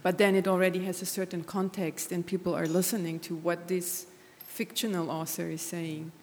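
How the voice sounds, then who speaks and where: A woman's voice: lowish voice, little pitch movement, normal speed, a little mellow, slight background noise. A woman artist speaking on a panel, searching for words with many restarts. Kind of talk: panel discussion. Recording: long hall reverb.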